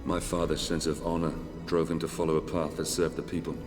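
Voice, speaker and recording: A very deep brooding Roman soldier narrator. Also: male voice, flat delivery, fairly quick. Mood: reflective. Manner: reflective, solemn. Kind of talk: scripted narration. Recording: long reverb under music.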